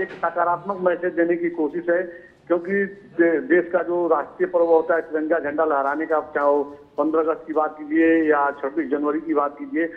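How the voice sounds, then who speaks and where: A male bureau chief giving a live field report from an outdoor event, talking in loose sentences full of fillers and repeated phrases. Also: on the high side. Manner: filler-heavy, repeats "jo hai" and "dekhiye". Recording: tinny phone-line audio.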